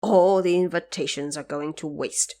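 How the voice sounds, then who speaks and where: A woman puts on a celestial emperor for an audio drama. He speaks slowly and formally, a stately ruler who sounds solemn and aggrieved. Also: low voice, dark tone, close to an everyday voice.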